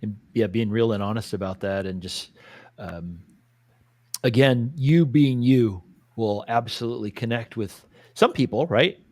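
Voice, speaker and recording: A man's voice: medium pitch, unhurried, no room sound. Laid-back man hosting a podcast. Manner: laid-back, calls guest "dude" and "brother", many fillers. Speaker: podcast host. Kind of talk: casual conversation.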